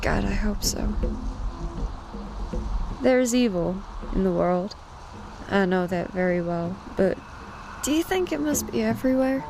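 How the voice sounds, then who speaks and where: Wistful wanderer. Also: female voice, mid-range voice, animated, vocal fry, over music, poor audio. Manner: slow, wistful, reflective. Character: searching young woman in the wilderness.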